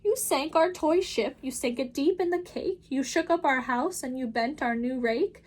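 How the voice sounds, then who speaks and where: A woman's voice: high voice, moderate pace, pitched above the performer's own voice, lightly acted. A woman voicing a fussy, alarmed male pet fish in a children's storybook, scolding with indignant, emphatic commands. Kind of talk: children's storybook read-aloud.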